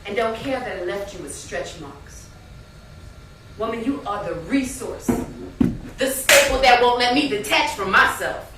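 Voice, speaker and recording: A woman's voice: on the high side, lively intonation, unhurried. An actress playing a proud, outspoken woman in a stage play, declaiming with emphatic spoken-word delivery. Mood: impassioned, proud. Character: proud, outspoken woman friend, poetic and affirming. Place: indoor stage, live play. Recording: echoey stage hall, distant mic, noisy.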